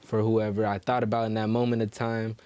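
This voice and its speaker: A man's voice: fairly low pitch, monotone, a little fast, warm tone, clear, resonant tone, dry recording. A man in casual podcast conversation, talking in slangy, profane phrases that he repeats for emphasis. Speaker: podcast co-host. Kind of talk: casual chat.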